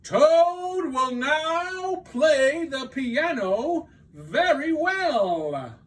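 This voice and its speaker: Man doing a loud, high, drawn-out announcer voice. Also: sing-song, far from the mic, heavily acted. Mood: pompous, grand. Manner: grandiose, very drawn-out proclaiming. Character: strange booming stage announcer voice from afar, pompous.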